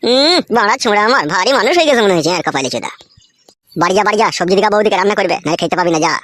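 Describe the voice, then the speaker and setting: A slick village Romeo from a comedy cartoon , acted by a man. He talks very fast in a high male voice, brash and smooth-tongued. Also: lively intonation, a bit bright. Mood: brash, teasing. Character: smooth-talking, foul-mouthed village womanizer, a young man.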